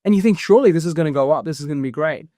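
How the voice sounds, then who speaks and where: A man's voice: a little high, dry recording, studio quality. A man, talking energetically as a guest in a casual interview. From New Zealand. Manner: energetic, fast-flowing explanations with fillers. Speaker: guest, property investment founder.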